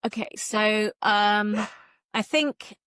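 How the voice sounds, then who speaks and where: A woman's voice: mid-range voice, takes it slowly, a bit bright, clear, resonant tone, no echo. A woman speaking as an interview guest, an author chatting casually in a conversational, self-deprecating way.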